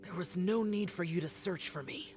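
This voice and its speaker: A woman's voice: lowish voice, moderate pace, a little mellow, lightly acted. A woman voicing a brooding, aloof immortal warrior youth in a fantasy video game, terse, grave and guarded. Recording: game music behind the voice.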